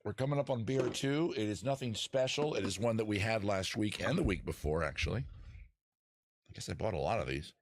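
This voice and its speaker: A man's voice: on the low side, fairly quick, a little hiss or hum. A man hosting a live music and chat show, rambling casually to viewers in a self-deprecating way and swearing freely. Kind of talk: casual livestream chat with viewers, some improvised singing. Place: at home in the backyard.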